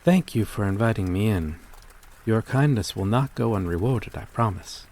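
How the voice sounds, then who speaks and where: A man playing a gentle, courteous vampire in an intimate ASMR roleplay, speaking in a formal, hesitant, apologetic way. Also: on the low side, expressive, normal speed. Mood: courteous, grateful. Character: courteous, gentle male vampire stranger, kindly and old-fashioned.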